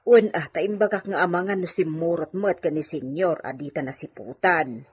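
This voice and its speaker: A woman's voice: on the low side, moderate pace, slightly rough. A woman playing a nervous, evasive housemaid in a radio drama, speaking hesitantly with an Ilocano accent. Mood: anxious. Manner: hesitant, stammering, flustered.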